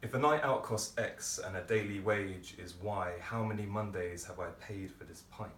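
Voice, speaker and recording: A deep-voiced man delivers spoken-word poetry in a theatrical, deliberate way. He is heard from some distance in a very roomy space. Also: fairly bright tone. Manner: theatrical, deliberate phrasing with pauses. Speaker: poet performer. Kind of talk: dramatic spoken-word poetry monologue performance. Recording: roomy, distant microphone, background noise.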